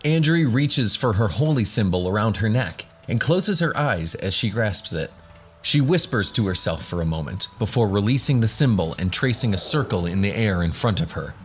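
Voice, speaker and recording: A man's voice: fairly low pitch, animated, normal speed, slight background noise. A man narrating a scripted fantasy audio drama in the role of game master.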